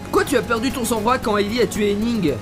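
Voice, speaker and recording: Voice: mid-range voice, steady tone, fast talker, over music, close to an everyday voice. An actor playing an ordinary, curious young man of twenty-three in an amateur audio drama, hesitant and unassuming.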